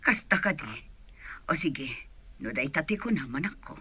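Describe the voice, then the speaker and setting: A woman's voice: sits low, very animated, moderate pace, bright, forceful tone, husky, no echo, exaggerated voice. A woman playing a middle-aged mother talking with her daughter in an Ilocano radio drama. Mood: motherly.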